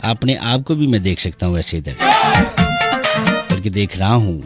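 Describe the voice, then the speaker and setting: A man's voice: low voice, lively intonation. A Pakistani man hosting a live radio show, chatting playfully, reading out listeners' messages and switching into English phrases. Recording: loud music behind the voice. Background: music.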